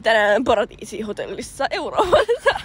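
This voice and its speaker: Woman voicing a high, swooping, playful demon jester. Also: wildly swooping pitch. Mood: cheerful. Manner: playful, teasing, swearing. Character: flirty teasing demon jester in an adult cartoon. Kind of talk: amateur Finnish cartoon fandub. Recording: home recording with clear background noise.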